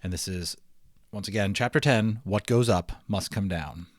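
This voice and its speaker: A man's voice: lowish voice, normal speed, no room sound, studio quality. A man giving a dramatic storybook read-aloud of a children's novel, with theatrical, emphatic phrasing.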